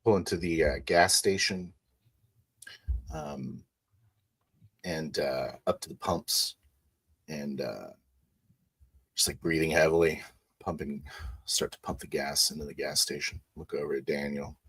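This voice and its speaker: A man improvising his character's actions and lines in a tabletop roleplay, piecing phrases together haltingly. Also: a bass voice, fairly even intonation, fairly bright tone, husky. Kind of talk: improvised roleplay narration and dialogue.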